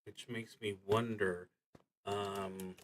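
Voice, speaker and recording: A man hosting a solo role-playing livestream, talking casually and haltingly with long pauses and a wry, self-deprecating streak. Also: lowish voice, level tone, a little hiss or hum. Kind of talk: casual livestream talk introducing a game.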